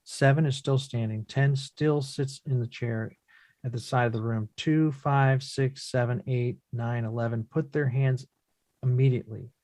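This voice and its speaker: A man reading stage directions from a play as measured, descriptive scripted narration. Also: medium pitch, steady tone, a little mellow, no echo.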